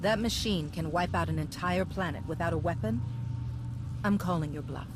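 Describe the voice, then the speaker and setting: An actress playing a firm, compassionate Jedi commander in a video game, speaking with composed authority. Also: female voice, fairly low pitch, moderate pace, noisy background, natural voice. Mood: earnest challenge.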